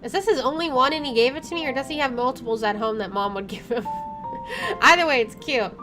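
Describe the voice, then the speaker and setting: A woman's voice: sits high, fairly quick. A woman doing a let's play, reading game narration aloud and reacting expressively, with game music behind her.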